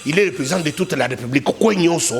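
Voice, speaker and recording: Higher-voiced Congolese man, emphatic and insistent. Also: expressive, quick pace, soft background music. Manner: emphatic, repeats phrases insistently. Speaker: political commentator being interviewed. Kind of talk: heated political debate, mixing two languages.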